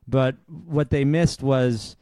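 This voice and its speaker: A man hosting a podcast, reading a scripted introduction and then chatting casually with a guest in a friendly, lively way. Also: medium pitch, slow pace, dry recording, studio quality. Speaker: podcast host.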